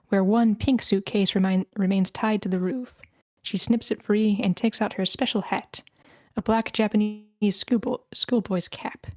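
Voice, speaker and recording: A woman's voice: medium pitch, a little mellow, no echo. A woman reading screenplay scene directions aloud as the narrator of a table read.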